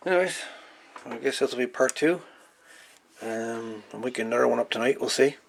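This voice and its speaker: A man's voice: medium pitch, fairly quick, creaky, slight background noise. A man giving casual hands-on commentary as a repairer, rambling and folksy, repeating his words as he goes. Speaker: hobbyist radio repairer presenting. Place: indoor workbench.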